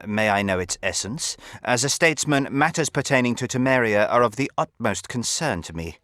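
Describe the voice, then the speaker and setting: Animated, scheming nobleman, played by a man. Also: medium pitch, dry recording, lightly acted. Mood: intrigued. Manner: formal, measured, insinuating. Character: smooth, scheming aristocratic count, suavely insincere statesman. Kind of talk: video game voice acting.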